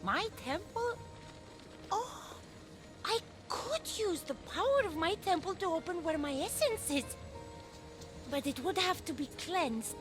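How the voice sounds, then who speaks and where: A woman's voice: extremely high pitch, lively intonation, unhurried, over music. A woman playing a childish, insecure goddess in a video game, with a hesitant, plaintive delivery. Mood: unsure. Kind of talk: animated video game acting.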